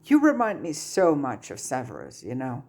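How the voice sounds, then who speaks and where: A gentle, patient wizard schoolmaster in a storybook reading, voiced by a woman. He is a grown man who guides kindly and holds firm. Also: a bass voice, huge pitch swings, moderate pace, exaggerated voice. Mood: gentle, sympathetic. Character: kindly, firm adult male wizard teacher and mentor.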